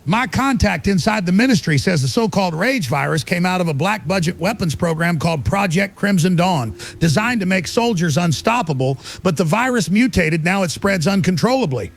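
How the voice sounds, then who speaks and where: Man playing a gravelly, paranoid American doomsday broadcaster. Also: high-pitched, animated, moderate pace, few pauses, full character voice. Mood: grave, conspiratorial. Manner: emphatic, clipped doomsday warnings. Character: paranoid male American conspiracy broadcaster, urgent and defiant. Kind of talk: emergency shortwave radio monologue.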